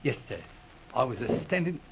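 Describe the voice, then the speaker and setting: An actor playing a shaken, deferential butler in a 1940s radio drama, subdued and servile, with simple ungrammatical speech. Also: male voice, mid-range voice, very animated, moderate pace, slight background noise, close to an everyday voice. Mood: nervous. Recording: muffled old radio recording.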